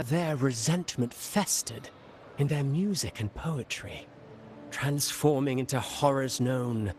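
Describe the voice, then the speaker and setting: A theatrical male playwright, voiced by an actor for a game. His voice sits in a middle register and he spins dark tales with teasing, dramatic relish. Faint ambient noise hums underneath. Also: mid-range voice, close to an everyday voice. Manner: dramatic, teasing, mysterious storytelling. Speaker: game character. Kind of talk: game cutscene voice acting.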